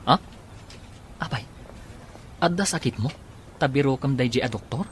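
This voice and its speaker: A man's voice: mid-range voice, normal speed, slight background noise, natural voice. An actor with a Filipino accent playing a chummy, teasing young delivery man in a radio drama, bantering and exclamatory. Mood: puzzled.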